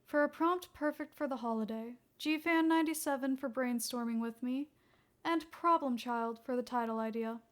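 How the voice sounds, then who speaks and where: A woman's voice: high-pitched, moderate pace. A woman narrating a scripted fan fiction story as its reader.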